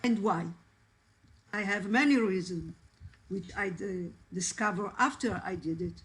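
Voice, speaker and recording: Woman, native Iraqi Judeo-Arabic speaker, halting and emotional, reading aloud, slow pace, video call. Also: mid-range voice. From Israel. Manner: halting, searching for English words, emotional. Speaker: actress and translator, guest speaker.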